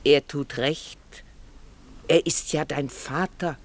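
Slow old woman. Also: low-pitched, fairly even intonation. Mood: soothing. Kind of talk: German radio play acting.

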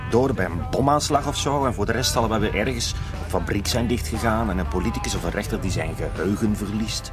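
A man's voice: on the low side, fast talker, over music, natural voice. A man playing a contrary teenage son in a radio drama, flippant and argumentative, with a Flemish accent. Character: contrary, flippant teenage son who loves his rabbits. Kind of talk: naturalistic radio drama acting.

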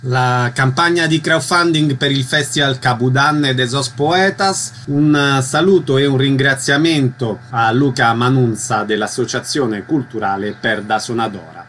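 A man's voice: medium pitch, unhurried, hardly any pauses, a bit bright. Male radio host with faint hum. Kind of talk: radio presenting.

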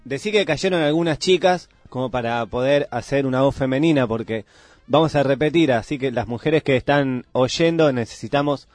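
A man's voice: medium pitch, dry recording. A man with a Buenos Aires accent co-hosting a radio show in casual, colloquial chat. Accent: Buenos Aires Argentine. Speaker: radio co-host. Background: music.

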